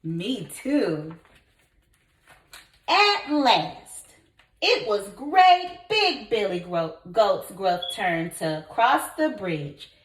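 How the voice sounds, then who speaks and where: An echoey room carries a woman's slow, very animated read-aloud. She is a kindergarten teacher, expressive and encouraging as she tells the story and asks her young listeners questions. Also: medium pitch.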